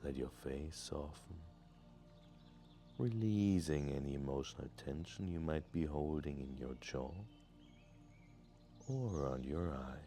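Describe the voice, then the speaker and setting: Male meditation guide, extremely low gravelly voice, soothing and unhurried, soft music behind. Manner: gentle, soothing, deliberate with long pauses.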